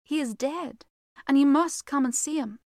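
A woman acts a gentle young storybook girl with a raised pitch. The girl speaks in a solemn, earnest and quiet manner, recorded dry. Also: moderate pace, no echo, close to an everyday voice.

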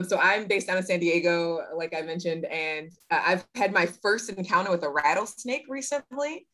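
A woman's voice: on the low side, fairly bright tone. A woman co-hosting a podcast in an upbeat, friendly conversational style. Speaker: podcast co-host, admissions advisor.

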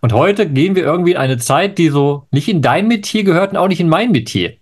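A man's voice: fairly high pitch, animated, a little fast. A man co-hosting a live scholarly discussion, eager, jumping in and correcting his partner. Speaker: co-host, Old Testament scholar.

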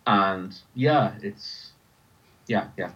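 Deep-voiced Irish man, slightly muffled recording. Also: very slow, pushed and bright. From Ireland. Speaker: writer and podcast creator, interview guest. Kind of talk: casual interview conversation.

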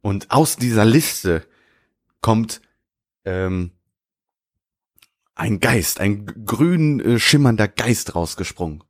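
A man's voice: lowish voice, expressive, slow, long pauses, no room sound, studio quality. A man co-hosting a podcast, chatting and improvising a story, laughing easily. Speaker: podcast co-host. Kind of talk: casual chat and improvised storytelling.

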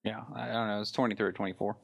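A man's voice: on the low side, level tone, rapid-fire, creaky. A man in his twenties on a casual podcast, blunt and crude in his jokes. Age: 20s. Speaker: podcast co-host. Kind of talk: casual chat.

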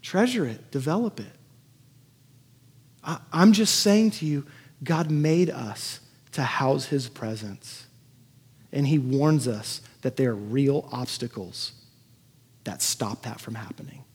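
A man's voice: mid-range voice, expressive, slow, pauses often, a little mellow, husky. A man preaching as a pastor in a conversational sermon, earnest and given to emphatic repetition. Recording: echoey hall with long reverb.

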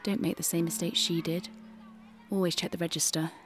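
A woman's voice: lowish voice, little pitch movement, brisk, some chatter behind, natural voice. A woman voicing a cheerful seven-year-old child reading a scripted advert in a radio play. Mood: bright, sing-song.

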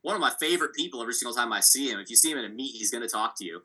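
A man hosting a casual sports podcast, talking through competitors with a steady, analytical manner and frequent fillers. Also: medium pitch, racing. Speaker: podcast host.

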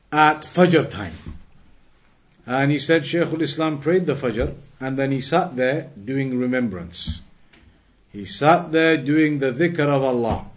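A man giving a religious lesson as a teacher, reading and explaining, repeating and rephrasing his phrases for emphasis. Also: fairly high pitch.

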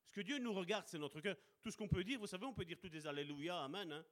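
A man preaching a sermon with passion, slowing down to stress key words and calling out to his brothers and sisters. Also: high voice, fairly bright tone. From Italian heritage. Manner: impassioned, addresses congregation as brother and sister.

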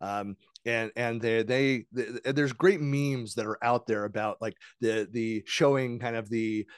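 A man of middle pitch hosting a podcast. He talks loosely and rambles, often restarting his sentences. The sound is dry and close, with no room echo. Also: fairly even intonation, measured pace, clear, resonant tone. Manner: chatty, rambling, many restarts. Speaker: podcast host. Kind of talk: podcast interview, conversational.

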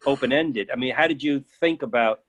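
A man in casual discussion, thoughtfully feeling his way through questions in a halting manner. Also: mid-range voice, fairly bright tone. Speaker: participant in play reading discussion.

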